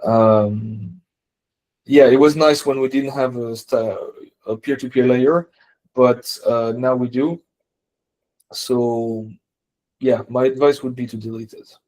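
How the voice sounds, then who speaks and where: A man who works as a software developer, talking casually and tentatively through technical points on a team call. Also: mid-range voice, fairly even intonation, takes it slowly, long pauses.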